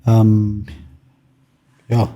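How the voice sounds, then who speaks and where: Low, mellow-voiced man. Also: completely flat delivery, very slow. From Germany. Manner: hesitant, many ums and restarts. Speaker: artist guest. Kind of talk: casual interview conversation.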